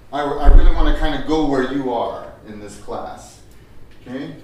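An older man leading a teacher workshop, chatty and quick to laugh, his voice a little strained from a cough. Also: medium pitch, darkish tone, a little hiss or hum. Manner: chatty, laughs often, restarts. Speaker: teacher trainer. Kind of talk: workshop talk with audience. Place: large echoey room with audience. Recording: very echoey room, voice from far off.

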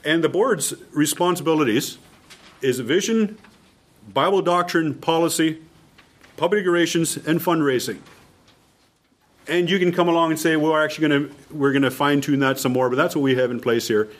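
A man's voice: a little high, normal speed. A man with a Canadian accent giving an informal instructional talk to a group, emphatic and repeating his key points. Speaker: mission leader presenting at a workshop.

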